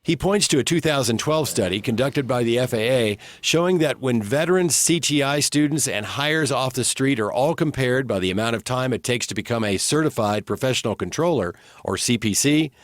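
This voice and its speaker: A man's voice: medium pitch, lively intonation, normal speed, no echo, clean studio sound. An American man working as an investigative TV reporter, reading a scripted news report narration.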